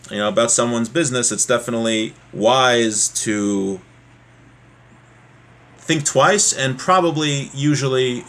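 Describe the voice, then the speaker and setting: A man's voice: medium pitch, a bit bright. A man giving an informal religious lesson, explaining a text in a plain-spoken, conversational way with asides. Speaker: religious teacher giving a class.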